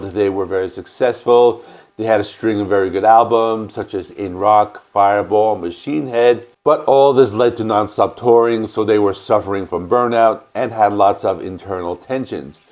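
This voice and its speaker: Man, stuffy from a cold, somewhat low voice, casual music-channel host, matter-of-fact. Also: darkish tone. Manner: plain, matter-of-fact explaining with fillers. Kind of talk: casual record review and band history.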